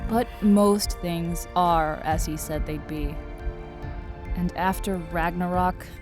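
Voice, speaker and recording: A woman's voice: fairly low pitch, close to an everyday voice. A woman playing an earnest, protective, pragmatic Norse goddess in an audio drama, with a firm, explanatory manner. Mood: resigned, explanatory. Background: background music.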